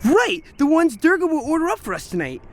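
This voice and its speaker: An actor playing a cocky, scheming teenage boy in a radio drama, brash, slangy and excitable. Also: very high-pitched, a little fast, slight background noise, heavily acted. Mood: eager, brash. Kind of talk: naturalistic radio drama acting.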